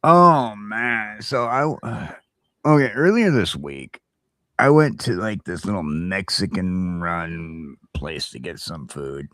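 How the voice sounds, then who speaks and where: A man chatting casually as a talk show co-host, blunt and crude, with a halting, opinionated delivery. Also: low voice, lively intonation, fairly bright tone, no echo, clean studio sound. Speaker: co-host of a geek culture morning chat show.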